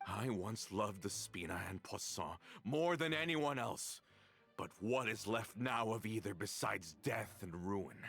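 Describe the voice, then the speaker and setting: A gravelly, bitter middle-aged traitor in a game cutscene, swinging widely in pitch as he hurls accusations. His tone is bright and biting, and faint music plays under him. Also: expressive, measured pace, full character voice. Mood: accusing, furious. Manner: accusing, resentful, rising to shouts. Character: bitter vengeful middle-aged man, betrayer. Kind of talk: dubbed game voice acting.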